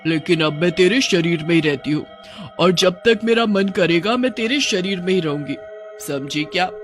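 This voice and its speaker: Woman acting witch ghost, gluttonous, gloating, bossy, animated intonation, cartoon horror tale. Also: on the low side, moderate pace, soft background music, natural voice. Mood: gloating, menacing.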